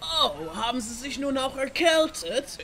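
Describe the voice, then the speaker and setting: A woman's voice: sits high, big pitch swings, measured pace, a bit bright, exaggerated voice. A woman playing a courteous, suave male sea captain in a comedic audio play, with flowery politeness. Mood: businesslike.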